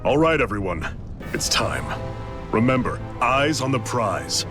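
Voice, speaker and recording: A man's voice: medium pitch, sing-song, measured pace. A male actor playing a confident, plain-spoken and seasoned resistance leader in a dramatic video game cutscene. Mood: commanding. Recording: loud music behind the voice.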